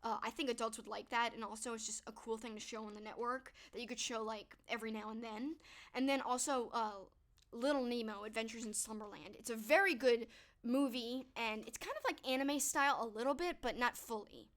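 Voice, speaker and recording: A woman's voice: a little high, fairly quick, fairly bright tone. A young woman streamer chatting casually with her viewers, upbeat and full of "like" and "um", her voice worn and fading. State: losing her voice. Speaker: streamer answering viewer questions. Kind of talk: casual chat to a live stream.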